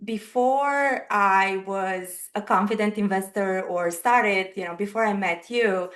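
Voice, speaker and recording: A woman's voice: medium pitch, slow, a bit bright. A woman in her thirties from Romania, speaking English with a Romanian accent as a podcast guest, earnestly telling her personal story.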